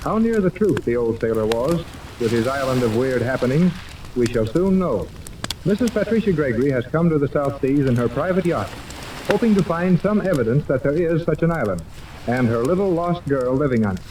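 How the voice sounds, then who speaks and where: A man's voice: mid-range voice, animated, a little fast, a little mellow, natural voice. A man narrating a scripted 1930s radio adventure serial as its storytelling announcer. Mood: dramatic, measured. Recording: distant, noisy old 1930s radio recording.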